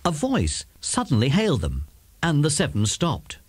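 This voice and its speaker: British male narrator for children's audiobook, very animated, faintly husky, close dry studio sound. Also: fairly high pitch, moderate pace, no echo. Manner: animated, dramatic. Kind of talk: expressive scripted storytelling for children.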